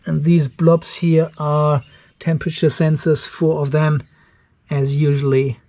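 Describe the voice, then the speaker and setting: A man with a notably high-pitched voice talks through a hands-on inspection of a device. He muses aloud, curious and relaxed, explaining each thing he finds as he goes. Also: a little slow, slight background noise. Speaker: channel host reviewing a home battery.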